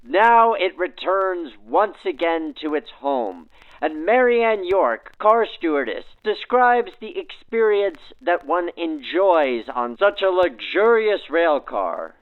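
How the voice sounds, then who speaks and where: A man with a high, swooping voice delivers formal scripted narration like a 1940s radio announcer. The sound is narrowed by a vintage radio filter. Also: very animated, takes it slowly. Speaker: narrator and host. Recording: band-limited 1940s-style radio sound.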